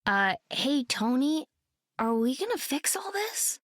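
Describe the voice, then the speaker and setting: A woman's voice: high voice, sing-song, slow pace, no echo, studio quality, exaggerated voice. A woman voicing a plucky, quirky young superhero in a video game, chirpy and casual. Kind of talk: video game character acting.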